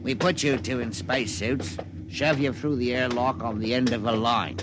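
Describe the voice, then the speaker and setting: An actor playing a sly, mock-polite crew crook in a 1950s radio drama. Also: male voice, mid-range voice, fairly even intonation, normal speed, lightly acted. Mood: gloating. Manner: wheedling, mock-polite and gloating. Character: sly cockney spacer, oily crook, middle-aged man. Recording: old radio broadcast with loud hiss.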